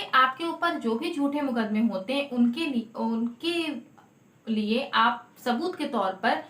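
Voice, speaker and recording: A woman's voice: high voice, fairly bright tone. A woman explaining law informally as an advocate, emphatic, often repeating and rephrasing her key points. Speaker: lawyer presenting legal advice videos. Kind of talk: informal explanatory legal talk to camera. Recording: echoey untreated room.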